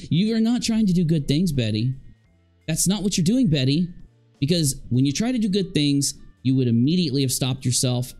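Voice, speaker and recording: A man's voice: a little high, lively intonation, normal speed, a little mellow, faint music behind. A man in his thirties giving casual, sarcastic and emphatic live commentary as a stream host. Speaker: livestream host. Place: indoor room at home.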